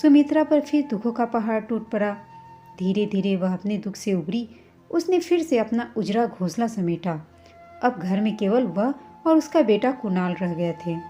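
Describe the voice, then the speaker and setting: A woman reads a scripted story in a fairly high, soft and mellow voice. She works as a storyteller, narrating the tale. The sound is a little dull, with music playing behind her. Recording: slightly dull, muffled recording. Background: background music.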